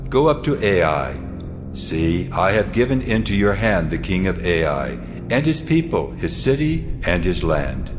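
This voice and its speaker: An actor voicing God in a dramatized scripture reading, solemn, authoritative and measured, with stern command. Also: male voice, low voice. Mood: commanding, reassuring. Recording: long hall reverb, music underneath.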